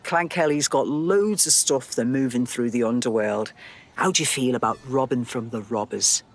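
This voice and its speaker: Brisk, guarded underworld resistance contact. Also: female voice, extremely low pitch. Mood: dry, wary. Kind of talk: video game voice acting.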